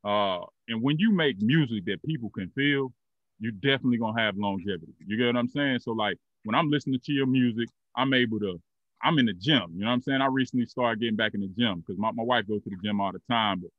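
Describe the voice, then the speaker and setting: A man's voice: medium pitch, quick pace, no room sound, narrow, tinny audio. A man in his thirties hosting a podcast, asking questions in a loose, rambling casual way with lots of fillers. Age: 30s. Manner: laid-back, loose and rambling with many fillers. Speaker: podcast host. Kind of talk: casual interview.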